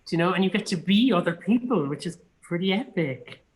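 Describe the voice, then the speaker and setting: A man's voice: high voice, lively intonation, brisk, poor audio. A man from Cork with an Irish accent, an actor chatting casually and telling anecdotes as an interview guest. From Cork, Ireland.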